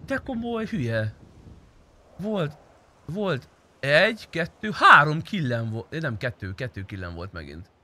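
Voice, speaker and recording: A man's voice: fairly high pitch, big pitch swings, bright, forceful tone. A young man chatting casually while livestreaming a game, blunt and slangy with frequent swearing. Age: young adult. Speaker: game streamer. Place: indoor streaming room.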